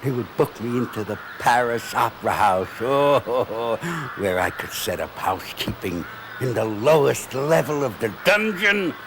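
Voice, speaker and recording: Campy horror host, male actor: hammy, jokey, slow delivery with big swooping inflection, over noisy ambience. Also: medium pitch, very animated. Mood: hammy, dreamy. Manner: hammy, theatrical, chuckling asides. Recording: noisy, with animal and crow ambience.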